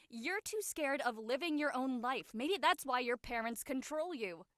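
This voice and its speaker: A woman acting a carefree, feisty high school girl in a dramatic read, breezy and blunt. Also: lively intonation, a little slow, fairly bright tone, dry recording, studio quality. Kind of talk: online dramatic table read.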